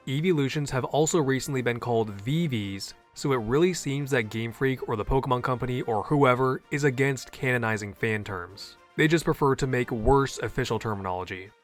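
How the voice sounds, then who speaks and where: A man hosting a scripted explainer video, earnest and opinionated, with a native English voice. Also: medium pitch. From half Japanese. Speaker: YouTube host.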